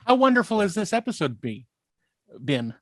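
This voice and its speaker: Higher-voiced man chatting on a podcast. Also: sing-song, moderate pace. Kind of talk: casual podcast chat.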